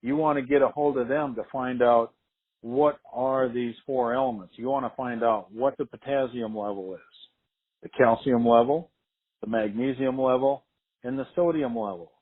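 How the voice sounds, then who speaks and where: A man's voice: medium pitch, moderate pace, husky. A man presenting farm products on a conference call, explaining methodically and repeating key points. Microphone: telephone. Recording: tinny, narrow phone-line conference call.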